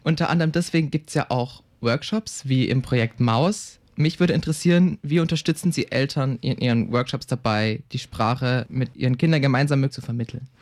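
A man interviewing a guest for a radio news magazine, asking polite, measured questions. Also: medium pitch, darkish tone.

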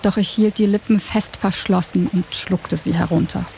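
A woman narrating an audio drama in the first person, with a soft, lyrical and introspective delivery. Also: mid-range voice, fairly even intonation, moderate pace, gravelly, a little hiss or hum. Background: music.

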